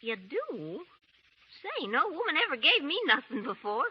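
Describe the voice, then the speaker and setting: A brash, rowdy frontier woman who talks in rough country slang with a lively, swooping pitch. The sound has the dull, muffled quality of an old radio broadcast. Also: normal speed, a little hiss or hum. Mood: folksy. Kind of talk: 1930s radio drama acting.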